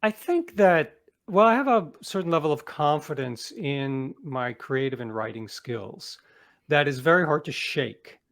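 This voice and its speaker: A man's voice: a little high, expressive, measured pace, a bit bright. Self-assured man in a reflective interview. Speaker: interview guest, author. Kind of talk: conversational interview, personal anecdotes.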